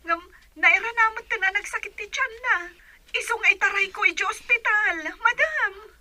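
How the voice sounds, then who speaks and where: A woman's voice: very high-pitched, normal speed, bright, forceful tone, no echo, full character voice. A woman acting in an audio drama as a flustered woman who breaks troubling family news. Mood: nervous, deferential.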